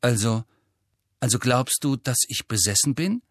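A man's voice: medium pitch, lively intonation, normal speed, no echo, studio quality, pitched above the performer's own voice, natural voice. A male narrator playing a moody, irritable teenage boy with a terse, defensive manner in an audiobook. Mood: subdued.

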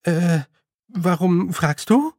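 A man performs a young girl for an audiobook. She is determined and careful, speaking with dry insistence. The pitch is lifted and the tone a little husky, in a very dry recording. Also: lively intonation, measured pace, no room sound, full character voice. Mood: guarded. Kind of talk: audiobook character voice.

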